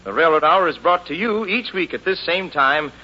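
A man's voice: fairly high pitch, animated, normal speed, bright, forceful tone. Genial man hosting an old radio show. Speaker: host and star singer. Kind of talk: 1950s radio drama and announcing. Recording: dull old radio transcription with music.

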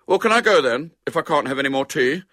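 A man's voice: medium pitch, sing-song, fast, fairly bright tone, breathy edge, no room sound, studio quality, voice pushed up, exaggerated voice. A man voicing a stubborn, indignant schoolboy in a storybook reading. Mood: sulky, protesting. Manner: indignant, protesting. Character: stubborn scornful schoolboy, sulky and argumentative.

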